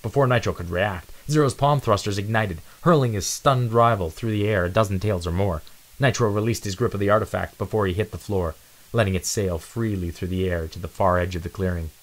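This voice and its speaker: A man's voice: on the low side, fairly quick. A man giving a dramatic scripted reading of an adventure storybook as narrator.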